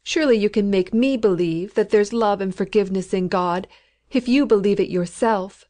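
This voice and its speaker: A woman reading a storybook, voicing a frantic, terrified dying woman of about sixty who pleads in rough country speech. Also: medium pitch, normal speed, dark tone, natural voice. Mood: desperate longing.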